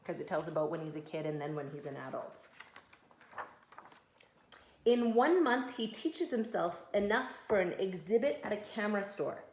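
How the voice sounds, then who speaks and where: A woman's voice: mid-range voice, animated, normal speed, darkish tone, a little hiss or hum. Woman reading aloud in an echoey room. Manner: explains patiently in simple words. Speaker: mother reading a picture book aloud to her children. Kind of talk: read-aloud with conversational explanations to a child.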